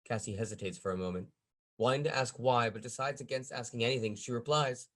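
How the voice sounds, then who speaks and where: A man's voice: mid-range voice, fast talker, a bit bright. A man reading stage directions as the narrator at a script table read.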